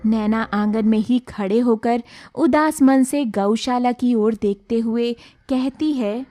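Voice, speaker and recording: Slow-paced woman narrating a story. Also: on the high side, mellow.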